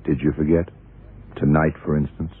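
A shrewd police detective in a vintage radio thriller. His voice is very deep and a little rough, and he probes deliberately, step by step. The sound is tinny and narrow, like an old broadcast. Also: level tone. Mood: pondering. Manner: deliberate, probing, clipped questioning. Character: shrewd, methodical male police detective in charge. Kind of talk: 1960s-70s radio drama acting.

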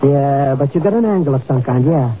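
An actor playing a cowardly, grumbling comic sidekick in a 1950s radio drama, whiny and quick to stammer when scared. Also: male voice, medium pitch, animated, close to an everyday voice. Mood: frightened, whining. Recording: noisy, tinny old radio recording.

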